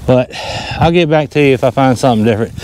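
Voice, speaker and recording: A man's voice: mid-range voice, quick pace, background noise. A middle-aged man with a Southern US accent talks casually and in a folksy, easygoing way, narrating an outdoor vlog on an action camera. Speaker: metal detecting vlogger. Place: outdoors in open farm fields. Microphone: handheld or body-worn action camera.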